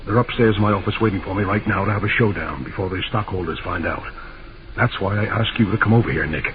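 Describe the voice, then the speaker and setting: A male actor plays an anxious, secretive banker in a vintage radio serial. He confides urgently and insistently in a low voice. The sound is narrow and tinny, under very loud noise. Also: quick pace, close to an everyday voice. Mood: insistent, confiding. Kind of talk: old-time radio drama acting. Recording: very noisy, thin old radio recording.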